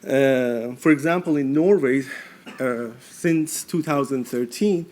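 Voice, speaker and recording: A man's voice: mid-range voice, slow pace, darkish tone. A man who is a native Persian speaker, presenting research at a conference and addressing the audience directly. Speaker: postdoctoral researcher presenting a poster pitch. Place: live forum presentation.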